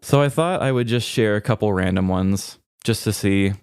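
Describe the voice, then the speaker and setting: A man's voice: fairly low pitch, normal speed, a little mellow, clear, resonant tone, no echo, clean studio sound. A man co-hosting a podcast in casual comedic banter, telling things playfully. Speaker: podcast co-host.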